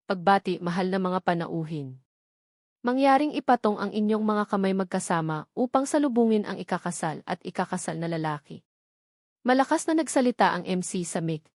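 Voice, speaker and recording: A woman's voice: mid-range voice, lively intonation, moderate pace, a little mellow, dry recording, clean studio sound. A woman with a Filipino accent reading scripted novel narration as an audiobook narrator.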